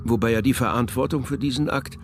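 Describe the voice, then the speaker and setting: A man's voice: medium pitch, quick pace, soft background music, natural voice. A male actor narrating a literary radio drama as a wry, scripted storyteller. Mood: wry, measured.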